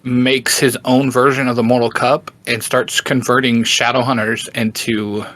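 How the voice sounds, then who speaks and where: A man's voice: medium pitch, level tone, moderate pace, fairly bright tone, no room sound, rough recording. A man chatting casually as a podcast guest, explaining story lore in an informal, enthusiastic way. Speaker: podcast guest, fantasy book fan.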